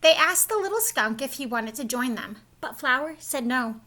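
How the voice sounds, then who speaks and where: A woman reads a picture book aloud for young children. Her voice is high and bright, and she tells the story expressively, taking care that small listeners follow along. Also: animated, normal speed. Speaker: storybook reader, school staff.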